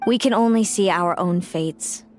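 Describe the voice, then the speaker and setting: A woman's voice: on the low side, lightly acted. A woman voicing a bitter, resentful fae sister. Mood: cool. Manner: cool, bitter, measured. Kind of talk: game voice acting. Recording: game audio with faint music.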